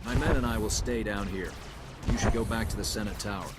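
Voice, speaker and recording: An actor voices a loyal military man for a video game, with a fairly low voice. He is gruff, terse and plain-spoken. Clear noise of artillery and gunfire sits behind him. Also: fast talker, close to an everyday voice. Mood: troubled, loyal. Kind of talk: dramatic video game acting. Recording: game audio over battle gunfire.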